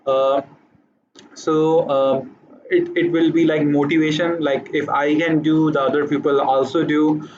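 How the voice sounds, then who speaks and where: A man's voice: fairly high pitch, level tone, measured pace, lo-fi sound, background noise. A man with an Urdu accent, a native Urdu speaker, giving a hesitant motivational talk to camera, full of fillers and restarts. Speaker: vlogger.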